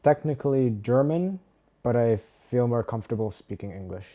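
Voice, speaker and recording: A young man from Italy answering interview questions, pausing often with ums. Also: medium pitch, expressive, mellow. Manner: hesitant, many ums and pauses. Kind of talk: documentary interview.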